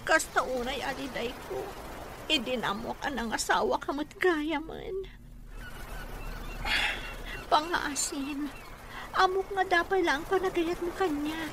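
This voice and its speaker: A Filipino woman desperately in love with a married man. Her voice is high and insistent, in melodramatic radio-drama style. The track is clearly noisy behind her. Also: takes it slowly, long pauses. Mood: pleading.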